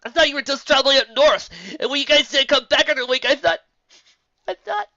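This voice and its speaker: A woman voicing an excitable, affectionate young male baby dragon in a cartoon fan story, loud and emotional. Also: quick pace, creaky, no echo, muffled recording, full character voice. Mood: tearful, upset. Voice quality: high-pitched, loud. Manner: emotional, exclaiming.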